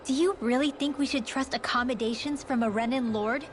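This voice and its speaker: An actress voicing a wary young heroine in an anime-style game, anxious and suspicious. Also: female voice, sits high, fairly even intonation, fairly quick, slight background noise, close to an everyday voice.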